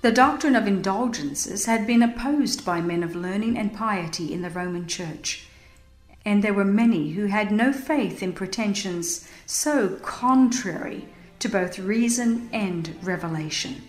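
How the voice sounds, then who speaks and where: A woman's voice: mid-range voice, measured pace. A woman narrating a religious history audiobook in a solemn, emphatic, formal manner. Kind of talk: dramatized scripted narration, reading a religious history. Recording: echoing voice over music. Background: music.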